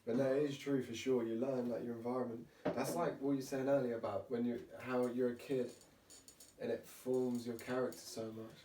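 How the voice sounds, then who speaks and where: A man's voice: mid-range voice, little pitch movement, fairly quick, dark tone. A man hosting a podcast in casual chat, chatty and jokey, asking lots of questions. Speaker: podcast host. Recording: roomy, distant mic with faint hiss.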